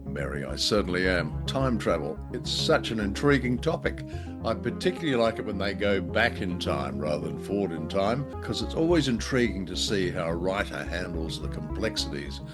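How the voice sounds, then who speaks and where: A man's voice: deep voice, very animated, normal speed, over music. A man co-hosting a show, introducing a radio drama in a conversational style. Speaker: co-host.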